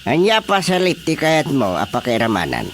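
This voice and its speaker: A scheming older village father in an Ilocano radio comedy. He speaks emphatically in a rather high voice that swings widely. Background noise is clearly audible. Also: huge pitch swings, normal speed. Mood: sly, probing. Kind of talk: Filipino radio drama comedy acting.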